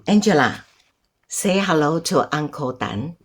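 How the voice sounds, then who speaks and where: Low husky Taiwanese grandmother. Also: animated, measured pace, full character voice. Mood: gently instructing. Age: older. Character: Taiwanese grandmother reserved before her old flame. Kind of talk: radio drama acting.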